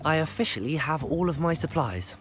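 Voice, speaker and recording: An actor plays a young student wizard in a fantasy game. The delivery is polite and modest but very expressive, with music clearly behind it. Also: mid-range voice, fairly quick, close to an everyday voice. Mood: eager.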